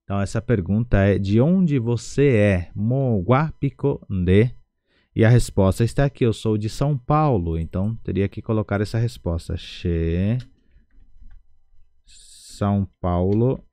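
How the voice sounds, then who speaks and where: A man with a Brazilian Portuguese accent teaching an online language lesson, slowly repeating each word syllable by syllable. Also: fairly low pitch, dark tone, no room sound.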